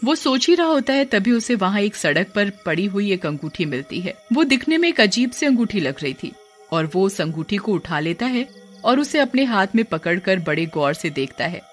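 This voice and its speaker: A woman's voice: medium pitch, sing-song, normal speed, faint music behind. Woman narrating a children's story.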